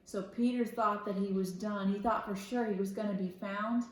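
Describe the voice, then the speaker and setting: A woman reading a children's story aloud expressively, in a storyteller's deliberate way with simple explanatory asides. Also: mid-range voice, steady tone, a little hiss or hum. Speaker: school media specialist reading aloud to children. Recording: echoey room.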